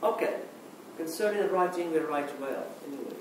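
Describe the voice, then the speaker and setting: A man's voice: a little high, normal speed, very echoey. Man with a Moroccan accent, native Arabic speaker, hesitant speech, far from the mic, noisy. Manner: hesitant, many restarts and "okay" fillers. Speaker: conference speaker. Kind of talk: halting conference talk.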